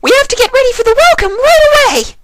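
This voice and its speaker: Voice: very high voice, big pitch swings, fast, heavy background noise, pitched above the performer's own voice. A storyteller voicing a hyperactive, bubbly young female party pony with squealing, bouncy bursts of speech. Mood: excited.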